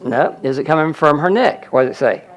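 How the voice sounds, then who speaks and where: A man's voice: fairly high pitch, animated, a little fast. A man presenting a healing seminar, explaining a live demonstration to an audience and repeatedly pointing things out. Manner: explanatory, audience-addressing, frequent "look at that".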